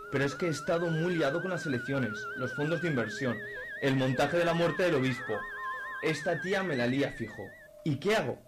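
A man playing a scheming, bossy middle-aged father in a radio soap, crude and blustering, with a Spanish accent from Spain. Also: mid-range voice. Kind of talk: amateur radio soap acting.

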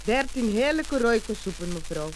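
A woman's voice: fairly high pitch, lively intonation, normal speed. A woman announcer reading a scripted radio commercial that addresses the listener, on a noisy old disc. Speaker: advertising announcer.

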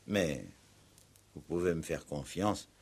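An actor playing a probing, insistent, ironic police commissioner in a 1960s French radio play. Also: male voice, rumbling low, takes it slowly, husky, exaggerated voice. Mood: probing, formal. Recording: old 1960s radio recording.

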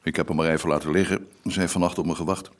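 A man's voice: low-pitched, steady tone, racing, slight background noise, lightly acted. An actor playing a middle-aged police inspector father in a Dutch radio play, dry and teasing, with a Netherlandic accent. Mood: dry, relaxed. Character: good-natured teasing police inspector father of two boys. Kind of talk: radio drama acting.

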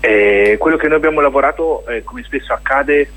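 A man's voice: medium pitch, brisk, fairly bright tone, low-quality recording. A man being interviewed on radio as a theatre director, reflective and hesitant as he searches for words while explaining his work.

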